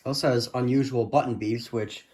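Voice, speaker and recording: A man's voice: medium pitch, steady tone, normal speed, a little mellow. A man giving a casual, unscripted show-and-tell as a hobbyist, speaking hesitantly and often trailing off. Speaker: hobbyist collector presenting a radio collection.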